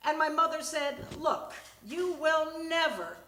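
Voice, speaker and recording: A woman performing a comic personal monologue on stage, in a halting, conversational way with dramatic pauses. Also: high-pitched, expressive, pushed and bright, slight background noise. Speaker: reader at a live storytelling show. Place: small theatre stage. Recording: echoey live stage recording.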